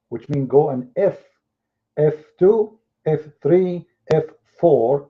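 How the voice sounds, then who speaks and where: A man with an Arabic accent argues his point hard in a live debate, pressing his opponents with mocking rhetorical questions. His voice sits a little high for a man. The audio is thin and boxy, like a phone line. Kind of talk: heated live online debate.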